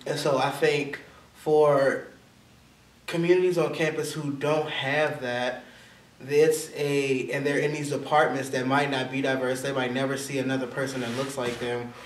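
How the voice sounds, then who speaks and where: A young adult man, a college student, speaking reflectively about his own experience in an interview. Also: mid-range voice. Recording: echoey room, distant mic.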